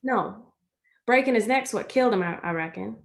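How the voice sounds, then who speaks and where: A woman's voice: mid-range voice, normal speed, gravelly, lightly acted. An actress playing a loyal, reassuring young country woman in a stage play reading, plain-spoken and earnest. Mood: earnest reasoning. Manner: folksy, earnest, reasoning things out.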